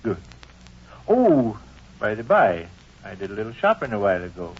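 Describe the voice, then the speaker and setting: A man's voice: fairly low pitch, big pitch swings, normal speed, slightly rough. An actor in an old-time radio comedy playing a sheepish, scheming father who speaks in stilted, flowery, hesitant phrases. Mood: pompous, evasive. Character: sheepish scheming father, pompously wordy, coaxing his son. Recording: dull, muffled old radio recording.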